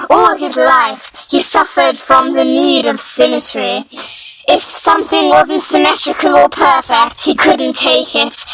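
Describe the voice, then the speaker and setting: A woman's voice: high voice, low-quality recording. A woman narrating fanfiction in a dramatic, mock-serious storytelling style. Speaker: fanfiction reader.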